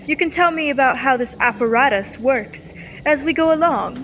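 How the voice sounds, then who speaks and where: Young woman playing a high-voiced curious assistant. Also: lively intonation, normal speed, fairly bright tone, poor audio. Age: teenager or young adult. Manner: inquisitive, eager. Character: curious, admiring young female assistant to a scientist. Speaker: student actor. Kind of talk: old-time radio serial acting. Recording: faint background noise.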